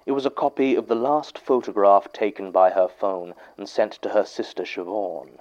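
An actor playing a dry, sceptical archivist who records case notes in a formal, measured audio drama monologue. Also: male voice, on the low side, fairly even intonation, darkish tone, highs cut off, lightly acted. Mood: dry, businesslike.

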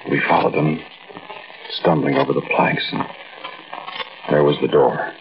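An American man playing a frightened robber who narrates a night heist in a halting, conversational 1940s radio drama style. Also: extremely low pitch, fairly even intonation. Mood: tense recounting. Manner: conversational, brooding, halting storytelling. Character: uneasy, frightened male bank robber recounting a night heist. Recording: muffled, noisy old-time radio recording.

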